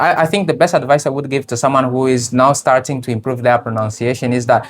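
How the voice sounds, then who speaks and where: A man's voice: mid-range voice, steady tone, rapid-fire. A man hosting a podcast interview, reflective and supportive, summing up what his guest says. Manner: reflective, summarizing and backing up the guest. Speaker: podcast host.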